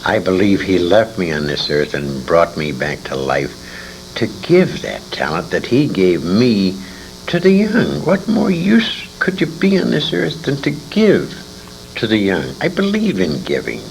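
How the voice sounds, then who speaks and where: A man in his sixties telling stories in an interview, speaking emphatically and dramatically with pauses. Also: low-pitched, wildly swooping pitch, creaky, poor audio. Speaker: interviewee, veteran radio actor. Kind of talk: conversational storytelling in an interview. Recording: hissy, humming 1980s amateur convention tape.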